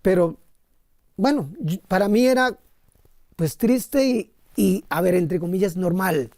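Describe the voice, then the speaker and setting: A Colombian man speaks as an interviewed missionary priest. His tone is dark and relaxed, recorded dry with little room sound. He tells his story conversationally, often stopping to rephrase as he goes. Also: sits high, slow pace, gravelly, no room sound.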